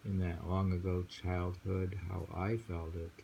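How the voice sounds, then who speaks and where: Man, dark mellow voice, slow delivery, reads poetry and muses, casual chat. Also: low voice, little pitch movement, gravelly, lo-fi sound, slight background noise. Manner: slow, musing, with frequent hums. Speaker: poetry reader and host. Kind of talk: casual reading and discussion of poems.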